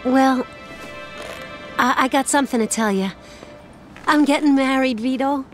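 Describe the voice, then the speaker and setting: A woman's voice: sits high, a little slow, over music. An actress playing a young woman, hesitant in her talk to her jailed brother.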